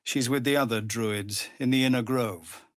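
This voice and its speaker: A man voicing a weary, honourable middle-aged tiefling paladin in a video game, speaking in a measured, formal, grave manner. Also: medium pitch, no echo, studio quality, close to an everyday voice. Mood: weary, urgent. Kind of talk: video game dramatic voice acting.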